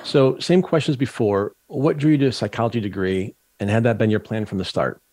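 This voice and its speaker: A man's voice: fairly low pitch, fairly quick, a little mellow, no room sound. A man hosting an interview, putting questions to his guest in a friendly, conversational way. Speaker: podcast host.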